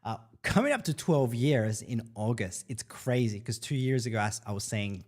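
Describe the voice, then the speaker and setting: Male livestream host, 30s, from El Salvador; casual upbeat chatter, mid pitch, heavy filler words. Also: expressive, normal speed. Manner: chatty, upbeat, lots of fillers, reads comments aloud. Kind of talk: casual live Q&A stream.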